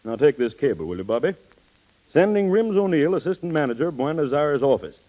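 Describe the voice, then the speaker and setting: A man's voice: a little high, sing-song, brisk. An actor playing an older, courtly businessman smitten with his secretary, in 1930s radio style. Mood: suave, flirtatious. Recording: muffled 1930s radio recording.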